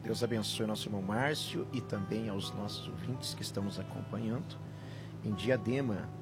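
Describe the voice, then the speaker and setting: Gravelly-voiced pastor hosting night radio. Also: male voice, on the low side. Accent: southern Brazilian. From Paraná, Brazil. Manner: gentle, prayerful, unhurried. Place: radio studio with music playing. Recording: music bed under the voice.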